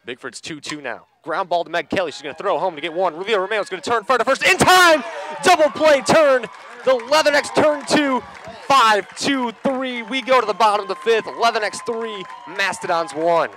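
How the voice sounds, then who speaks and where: A man calling a softball game live on the radio, measured between plays and ready to burst into excited calls. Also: high-pitched, some chatter behind, a little hiss or hum. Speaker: play-by-play sports commentator.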